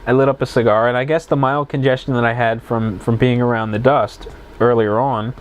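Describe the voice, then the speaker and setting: A man's voice: mid-range voice, fairly even intonation, fairly quick, clean tone. Congested man hosting a solo podcast. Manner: rambling, self-correcting, stumbles over words. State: congested, plugged sinuses. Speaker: podcast host. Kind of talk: casual solo podcast, reading news aloud.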